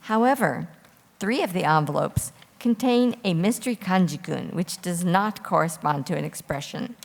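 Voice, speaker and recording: A woman moderating a formal quiz competition, giving clear, orderly instructions. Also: on the low side, lively intonation, moderate pace. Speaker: quiz host reading rules and judging calls. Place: large hall at a live competition. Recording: echoey hall with long reverb.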